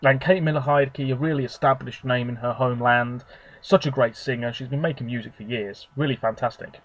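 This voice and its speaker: A man with a slightly hoarse, husky voice from a sore throat, chatting on his own channel. He talks in a rambling, relaxed way, giving his opinions one after another at a middle pitch. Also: brisk, no echo, rough recording. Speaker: video host. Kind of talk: casual solo commentary.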